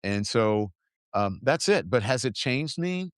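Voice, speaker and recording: A man's voice: medium pitch, expressive, measured pace, no room sound, clean studio sound. A man hosting his own show, talking earnestly and reflectively in casual conversation, with many fillers and restarts. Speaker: podcast host.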